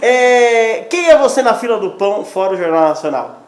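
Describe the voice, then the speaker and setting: A Brazilian man hosting a casual, joking interview with a playful, teasing manner and quick banter. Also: sits high, lively intonation, crowd noise. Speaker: host interviewing vocal coaches. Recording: roomy echo with voices around.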